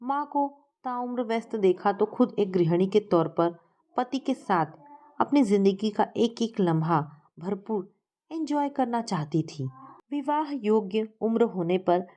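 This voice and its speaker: A woman's voice: mid-range voice, lively intonation, measured pace, dark tone. A woman narrating a scripted story as a storyteller.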